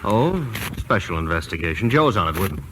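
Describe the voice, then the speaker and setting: An actor playing a wry, brisk investigator husband in old-time radio drama, clipped and matter-of-fact. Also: male voice, sits low, sing-song. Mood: wry, teasing. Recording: noisy old radio recording.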